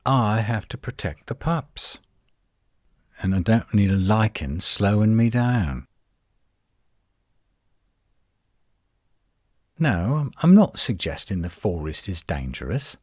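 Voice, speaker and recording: Male actor as a werewolf guardian, deep husky voice, close dry sound, sardonic, teasing drawl, roleplay audio. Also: big pitch swings, unhurried, darkish tone, no room sound, full character voice. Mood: wry, flirtatious. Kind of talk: roleplay audio acting, one side of a dialogue.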